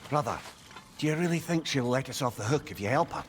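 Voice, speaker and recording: An actor voicing a clever, wry, talkative advisor in a video game, with an eloquent, teasing manner. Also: male voice, medium pitch, expressive, quick pace, slight background noise, natural voice. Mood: exasperated. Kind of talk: video game character acting.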